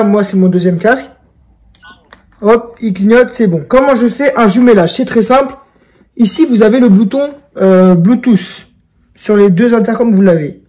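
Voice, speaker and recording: A man's voice: high voice, warm tone. A young man giving a casual product tutorial, informal and talking straight to the viewers. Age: young adult. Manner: informal, addressing viewers directly. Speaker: video creator and presenter. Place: indoors in a home room.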